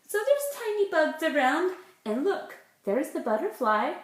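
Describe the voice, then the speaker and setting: A woman's voice: sits high, big pitch swings, a little slow. A woman, a preschool teacher leading circle time and reading a picture book, asking simple questions and explaining words for small children. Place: indoors in a room at home. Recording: roomy, echoey home recording.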